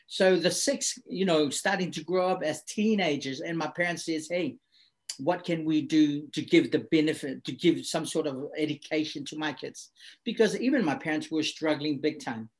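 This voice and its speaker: A middle-aged man from Samoa speaks in an interview, with English as his second language. His voice sits a little high for a man, and he comes back to his points again and again, in a reflective, earnest way. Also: moderate pace. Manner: reflective, repeats phrases for emphasis. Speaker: guest.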